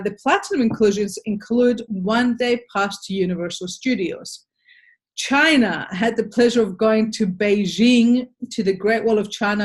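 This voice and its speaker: An Australian woman giving a sales presentation in a webinar, upbeat and persuasive, speaking to a webcam from a home office. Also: mid-range voice.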